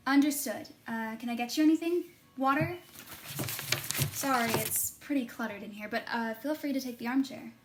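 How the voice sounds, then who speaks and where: A woman's voice: fairly high pitch, normal speed, background noise, close to an everyday voice. A woman playing an earnest, determined investigative reporter who gets easily flustered, in a podcast-style audio drama. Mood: flustered, helpful. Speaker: actor and narrator in an audio drama. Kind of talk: podcast-style narration and naturalistic drama dialogue.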